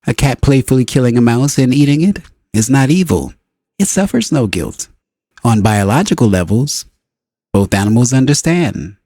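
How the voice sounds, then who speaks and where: Man narrating with lively, swooping intonation. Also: mid-range voice, moderate pace, dry recording, studio quality. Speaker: audiobook reader. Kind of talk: scripted spiritual book narration.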